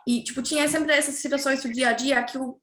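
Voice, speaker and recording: A woman talks casually as a guest, speaking a language she learned later. Her voice sits a little high, and she often stops to search for words and fills the gaps. The room around her sounds echoey. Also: steady tone, fairly quick, a bit bright. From Germany. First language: German. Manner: hesitant, searching for words, many fillers. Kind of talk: casual interview chat.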